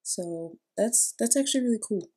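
A woman's voice: mid-range voice, lively intonation, normal speed. A young woman giving casual reaction commentary as a video host in a room at home. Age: young adult. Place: small room indoors at home.

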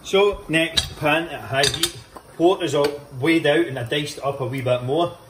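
A man's voice: fairly high pitch, normal speed, noisy background. A young man talking casually as he walks through a meal-prep recipe in his home kitchen, upbeat and loose, filming on a phone at arm's length. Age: young adult. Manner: chatty, upbeat, loose with swearing. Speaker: fitness vlogger. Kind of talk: casual cooking walkthrough. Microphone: phone held at arm's length. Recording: echoey kitchen, faint clicks.

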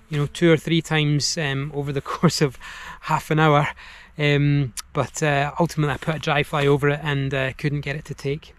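A Scottish man in his thirties chatting casually to camera as a fishing vlogger, in a relaxed, thoughtful way with some hesitation. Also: a little high. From Scotland. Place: outdoors on a grassy riverbank.

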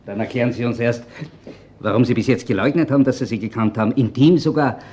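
A man acting a sardonic, pushy Austrian detective in a radio crime play. He talks fast in a raspy voice, brusque and blunt. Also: medium pitch, warm tone, natural voice. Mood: sardonic, pressing. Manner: brusque, sarcastic.

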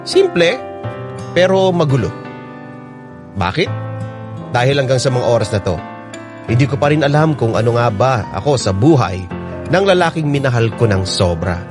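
A performer with a Filipino accent voicing a confessional letter-writer telling a love story in a radio drama. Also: male voice, mid-range voice, very animated, moderate pace, pauses often, close to an everyday voice. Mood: confiding. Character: confessional single mother narrating her love story. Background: guitar music.

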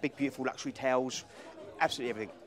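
A middle-aged man talks quickly into a handheld mic at an indoor party. His pitch sits in a middle range, and he keeps stumbling over ums and repeated words as he talks up his gym. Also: a faint crowd. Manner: fast, hesitant with many ums and repeated words. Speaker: gym owner. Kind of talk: casual interview at a party.

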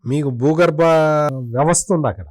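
A man's voice: fairly high pitch, moderate pace, darkish tone. A man speaking as a political commentator in an interview, in a critical, opinionated way with emphatic repetition.

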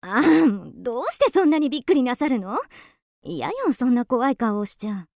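A woman's voice: on the high side, very animated, normal speed, no room sound, studio quality. An actress playing a lonely, dreamy woman lost in romantic fantasy, with polite, theatrical delivery in a radio drama. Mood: coy, dismissive.

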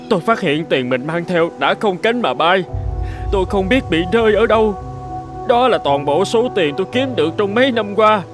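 An actor playing an anxious, distraught young working father in an animated fable, sounding close to tears. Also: sits high.

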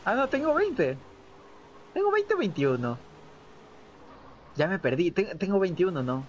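A man's voice: high voice, big pitch swings, measured pace. A man in his early twenties with a Latin American accent, casually commenting on a game in slangy, joking talk. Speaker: game streamer. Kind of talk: casual playthrough commentary, reading subtitles aloud. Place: indoor room at home. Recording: dull lo-fi stream audio with game noise. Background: video game sound.

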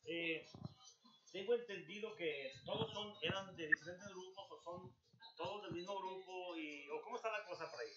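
A man's voice: high-pitched, normal speed, lo-fi sound, a crowd in the background. A man hosting a music talk show in a TV studio, chatty and joking as he hypes up the audience and questions his guests. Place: TV studio set, indoors.

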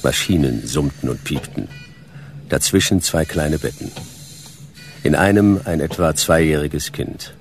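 A man's voice: very deep voice, unhurried, faint music behind. An actor playing a hard-boiled, stern male detective in a radio drama, speaking in terse, dry, clipped phrases. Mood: grim narrating. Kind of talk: radio drama narration and dialogue.

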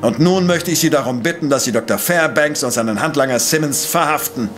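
A man's voice: mid-range voice, fairly quick, a bit bright, faint music behind, lightly acted. A man playing a brilliant, conceited professor-detective in an audio drama, with a pompous, precise, lecturing manner. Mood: scornful. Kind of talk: German audio drama acting.

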